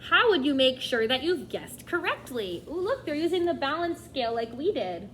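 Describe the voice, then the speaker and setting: A woman's voice: sits high, sing-song, moderate pace, a bit bright, a little hiss or hum. A woman teacher reading a nonfiction book aloud to children, expressively, often addressing them directly with questions and asides.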